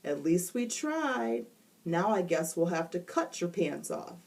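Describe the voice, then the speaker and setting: A woman voicing a calm, matter-of-fact schoolteacher in a storybook reading, speaking in a level, sensible and unhurried way. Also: on the low side, vocal fry, far from the mic, exaggerated voice.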